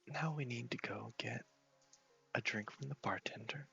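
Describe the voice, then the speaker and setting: Soft, creaky, half-whispering man narrating a game. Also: mid-range voice, normal speed, highs cut off. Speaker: host of a gaming series. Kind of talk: ASMR game walkthrough narration. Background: music.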